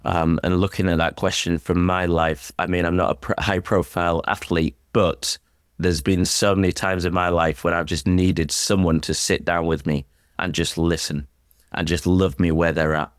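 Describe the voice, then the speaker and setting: A man with a low, smooth and resonant voice gives interview answers as a guest. He speaks earnestly and reflectively, in a conversational style. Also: flat delivery, moderate pace, no echo, clean studio sound. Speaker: sports chaplain, podcast guest.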